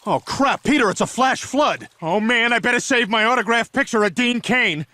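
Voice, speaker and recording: High, bright, panicky cartoon character. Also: male voice, moderate pace, dry recording, clean studio sound. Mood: panicked. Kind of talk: animated comedy acting.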